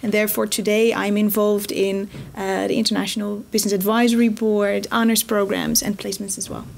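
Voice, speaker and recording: A woman's voice: medium pitch, flat delivery, fairly quick, gravelly, a little hiss or hum. A woman from the Netherlands, a lecturer, introducing herself on a panel in an earnest, articulate way.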